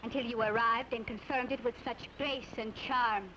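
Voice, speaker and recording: A proud young lady who speaks with cool, cutting sarcasm in a fairly high voice. It sounds like a dull, lo-fi old radio broadcast with faint hiss. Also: fairly even intonation, normal speed, bright, forceful tone. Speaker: outlaw's unwilling fiancée. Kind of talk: 1940s radio acting.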